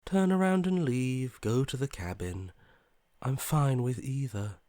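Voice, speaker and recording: An actor playing a detached, morbidly curious inner voice in a video game, with a languid, blasé delivery that softly trails off. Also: male voice, mid-range voice, unhurried, dry recording, studio quality, close to an everyday voice. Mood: cold indifference. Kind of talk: video game character voice acting.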